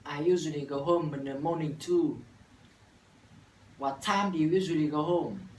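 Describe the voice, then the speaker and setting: A nineteen-year-old university student from Vietnam with a Vietnamese accent, reciting an English practice dialogue in a careful, halting way with restarts. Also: male voice, a little high. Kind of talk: scripted classroom dialogue practice. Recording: echoey room from far off, faint noise.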